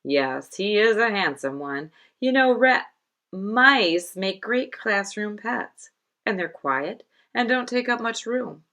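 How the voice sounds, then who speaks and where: A woman's voice: mid-range voice, lively intonation, slow pace, sharp tone, full character voice. A woman voicing a slick, gum-chewing teenage male pet-shop clerk with salesman patter in a storybook. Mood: slick, sales-pitching.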